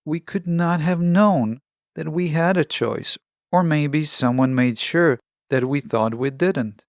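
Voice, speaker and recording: A man hosting a podcast, delivering a scripted self-help monologue in measured, deliberate phrases, close on the microphone. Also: on the high side, no room sound. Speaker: podcast host.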